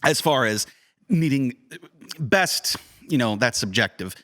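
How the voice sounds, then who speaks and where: A man's voice: mid-range voice, lively intonation, a little slow, fairly bright tone. A man chatting informally as a podcast host and director. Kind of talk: casual chat.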